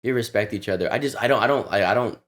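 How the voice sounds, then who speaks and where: A man's voice: fairly low pitch, rapid-fire, fairly bright tone. A young man talking earnestly as a podcast guest, restarting his sentences often. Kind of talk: casual interview chat.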